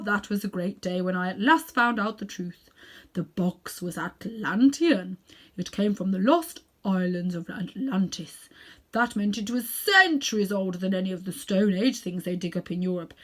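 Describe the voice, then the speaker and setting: A woman's voice: mid-range voice, animated, normal speed, lightly acted. A woman voicing a sinister, self-important elderly male magician in a storybook reading, pompous, condescending and emphatic in manner. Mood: boastful, secretive.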